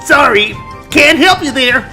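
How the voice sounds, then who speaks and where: Blustering petty villain, very high voice, slowish delivery, theatrical, cartoon parody, music behind. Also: female voice, lively intonation, pushed and bright, full character voice. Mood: flustered. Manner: theatrical, blustering, easily flustered. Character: scheming, melodramatic book-thieving scholar, indignant and hammy villain. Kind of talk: comedic fan-dub voice acting.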